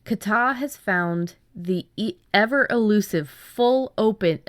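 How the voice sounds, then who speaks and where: A woman podcast host chatting casually about writing, telling things in an animated way with chuckles. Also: mid-range voice, takes it slowly, dry recording, studio quality. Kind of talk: casual chat about story ideas.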